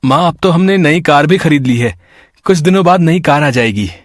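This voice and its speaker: A man plays a deceitful young city son in a cartoon moral tale. He speaks emphatically in a husky, raised voice with a dry sound. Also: on the high side, expressive, moderate pace, dry recording. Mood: boastful.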